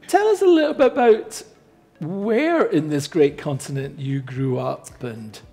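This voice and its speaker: Man, co-host, mellow tone, jovial, faint music behind. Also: a little high, expressive, measured pace, vocal fry. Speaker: podcast co-host. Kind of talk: casual interview hosting.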